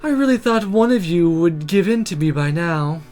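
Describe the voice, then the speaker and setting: A woman's voice: on the low side, animated, moderate pace, darkish tone, soft background music, lightly acted. A woman voicing a smug, flirty succubus demon with a teasing drawl for a visual novel. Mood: smug, complaining.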